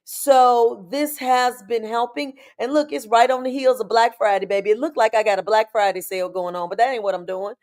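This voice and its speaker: A woman with a hoarse, raspy voice, hosting a casual live-stream chat as a spiritual coach and talking familiarly to her viewers. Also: fairly high pitch, steady tone, a little fast, hardly any pauses, darkish tone. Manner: chatty, familiar, calls viewers "baby" and "y'all".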